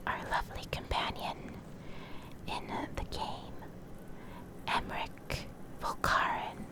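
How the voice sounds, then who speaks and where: A woman reading in a soft, breathy whisper for ASMR, gentle and measured, close to the microphone. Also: poor audio. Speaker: ASMR creator, video host and reader. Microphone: close USB condenser microphone.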